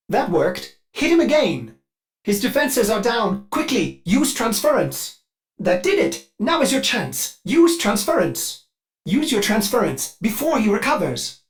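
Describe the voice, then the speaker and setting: Fussy, animated male ship AI. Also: high voice. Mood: urgent. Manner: polite, theatrical, refers to self in third person. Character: fussy, devoted male ship AI, eager and anxious. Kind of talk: video game companion dialogue.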